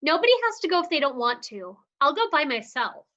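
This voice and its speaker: An earnest, determined young woman, read by a woman, with a high, bright, lively voice. She speaks firmly and plainly. The recording is dull and lo-fi. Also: fairly quick, full character voice. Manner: earnest, firm. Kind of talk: livestream script reading. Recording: dull lo-fi sound.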